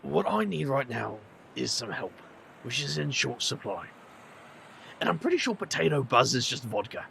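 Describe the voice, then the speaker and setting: Guy acting a tired sarcastic adventurer, dry delivery, croaky fry in the voice, audio drama. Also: mid-range voice, very animated, moderate pace, a bit bright, slight background noise, full character voice. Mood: weary, troubled. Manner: dry, world-weary, sarcastic. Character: weary, sardonic supernatural adventurer with family baggage.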